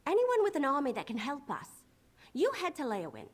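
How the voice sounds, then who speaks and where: High, animated plucky elf adventurer. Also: female voice, a little fast. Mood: plucky, wry. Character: spunky, plucky young female elf adventurer. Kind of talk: video game character acting.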